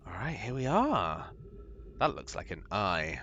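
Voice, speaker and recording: A man streaming a video game and chatting with viewers, thinking aloud with lots of ums, his voice gravelly from a recent cold. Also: lowish voice, huge pitch swings, a little slow, fairly bright tone, dull audio, a little hiss or hum. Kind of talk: live gameplay commentary, chatting with viewers. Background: game music.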